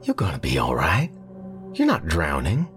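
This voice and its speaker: Brainy, sardonic male colleague for audiobook fiction, done by a man: deep, wry, emphatic. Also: big pitch swings, moderate pace, a bit bright, soft background music, exaggerated voice. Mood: calm reassurance.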